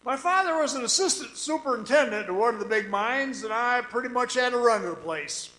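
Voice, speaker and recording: A man playing a weary, haunted former copper mine guide in a radio drama monologue, telling his story in a folksy, halting, conversational way with ominous pauses. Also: very high voice, animated, pushed and bright, roomy sound, heavily acted, low-quality recording. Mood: reminiscing. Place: performing arts center stage.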